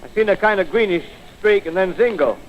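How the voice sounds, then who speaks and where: An actor playing a folksy, slow-witted country farmer with a rural American drawl in a 1930s radio drama. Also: male voice, sits high, lo-fi sound, close to an everyday voice. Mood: folksy, bemused. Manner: folksy, rambling, rustic drawl. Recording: noisy vintage 1930s radio broadcast.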